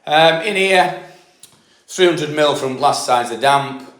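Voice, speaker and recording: A man's voice: a little high, slow pace, a bit bright. A middle-aged man with a Yorkshire accent giving a casual on-site walkthrough of a damp job, rambling and explaining with lots of fillers and restarts. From Yorkshire, England. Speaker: damp proofing specialist. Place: house being stripped for damp work, indoors. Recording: echoey empty room.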